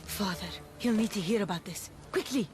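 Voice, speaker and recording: A woman's voice: mid-range voice, normal speed, darkish tone, slight background noise, lightly acted. A woman playing a resolute young hunted warrior of ancient Greece in a video game cutscene. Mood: urgent.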